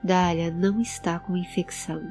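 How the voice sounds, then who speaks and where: A woman voicing a serious, pensive male adventurer who keeps calm and gives instructions in an audio drama. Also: on the low side, normal speed, soft background music, close to an everyday voice. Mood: grave. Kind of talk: audio drama character acting.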